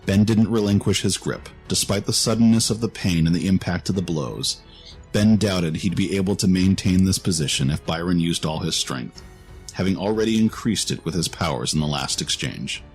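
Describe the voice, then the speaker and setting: A man with a very low voice narrates a fantasy story. The delivery is scripted and dramatic, with a music bed underneath. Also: normal speed, a little mellow.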